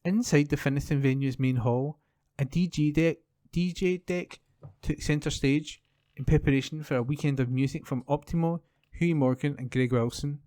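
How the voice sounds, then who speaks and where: A man reads newspaper articles aloud. His voice sits a little high for a man. The sound is dry, with no room echo, as a volunteer recording at home. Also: moderate pace, warm tone. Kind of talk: reading news articles aloud.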